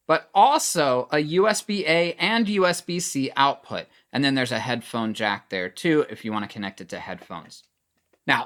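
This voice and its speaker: A man's voice: medium pitch, fairly bright tone, clear, resonant tone. Male product reviewer, middle register, casual demo delivery, plain and explanatory.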